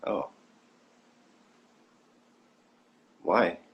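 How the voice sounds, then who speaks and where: A man's voice: takes it slowly, highs cut off, lightly acted. A young man playing a naive, idealistic alien youth in a dramatic reading, earnest and prone to stammering. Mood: confused, hurt. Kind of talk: dramatic fan fiction reading.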